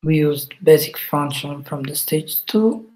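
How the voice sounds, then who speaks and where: A man with an Italian accent, a native Italian speaker, hosting a webinar and walking through a coding project, pausing often to think aloud. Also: on the high side, darkish tone, low-quality recording. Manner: halting, thinks aloud with fillers. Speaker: webinar presenter. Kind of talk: live coding walkthrough.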